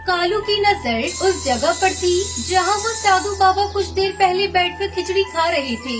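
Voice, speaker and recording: A woman's voice: very high-pitched, animated, unhurried. A woman narrating a scripted moral story for children. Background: music.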